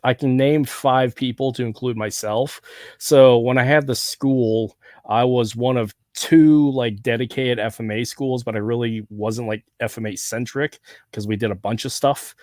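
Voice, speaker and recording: Man with a relaxed SoCal drawl. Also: medium pitch, no echo, clean studio sound. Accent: Southern California with a Hawaiian touch. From Southern California. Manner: relaxed, rambling, quick to agree. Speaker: podcast host. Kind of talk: casual conversation.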